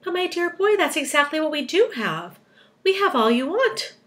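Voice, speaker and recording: An elderly gentleman grasshopper out of a storybook as a woman acts him out. He is sharp and eager, with a high, swooping, emphatic delivery. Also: sing-song. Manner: brisk, emphatic.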